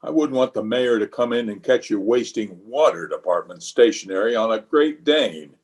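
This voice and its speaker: A man's voice: on the low side, moderate pace, darkish tone, lightly acted. A man playing a blustering, self-important middle-aged uncle and small-town official in an old-time radio comedy, with a pompous manner. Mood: bossy.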